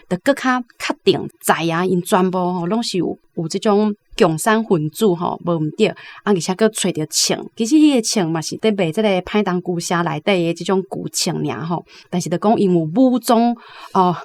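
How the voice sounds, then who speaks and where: A woman's voice: lowish voice, brisk, a little mellow. A woman with a Taiwanese accent giving conversational, explanatory literary commentary as a programme guest.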